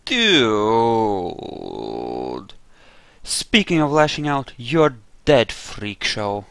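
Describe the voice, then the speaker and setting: A man's voice: mid-range voice, very animated, very slow, fairly bright tone, smooth, dry recording. A man giving casual role-play commentary over a video game, explaining his character and trash-talking enemies. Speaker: gamer, playthrough host.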